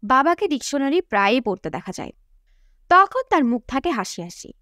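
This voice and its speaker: A woman's voice: high voice, sing-song, moderate pace, pushed and bright, dry recording, clean studio sound. A woman reading a novel aloud as an audiobook narrator in a scripted storytelling style.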